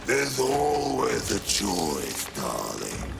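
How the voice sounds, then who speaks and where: A man's voice: lowish voice, huge pitch swings, drawn-out words, creaky, exaggerated voice. A male actor playing a lazy, haughty monster of sloth in a video game, drawling and condescending. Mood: lazy disdain. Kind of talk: video game villain acting. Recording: loud music over the voice.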